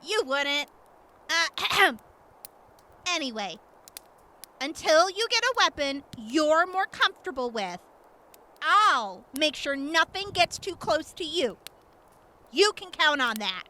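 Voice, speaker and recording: A woman's voice: sits high, very animated, slow pace, long pauses, pushed and bright, slight background noise, heavily acted. A woman playing a feisty, protective young wasteland scrapper in an audio drama, with a brash, emphatic manner. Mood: confident, friendly. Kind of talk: post-apocalyptic audio drama acting.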